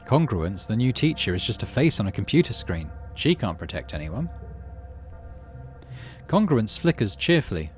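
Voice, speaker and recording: A man with a lowish voice reads a sci-fi story game aloud, his intonation rising and falling animatedly through the tense scenes. Between passages he drops into quiet, muttered comments on his card moves, with soft game music playing underneath. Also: moderate pace. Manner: expressive reading mixed with muttered game comments. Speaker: let's play host.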